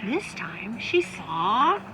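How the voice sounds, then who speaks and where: A woman reading a children's picture book aloud, expressively, with dramatic emphasis and drawn-out phrases. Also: a little high, sing-song, vocal fry, noisy background. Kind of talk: expressive scripted read-aloud for young children.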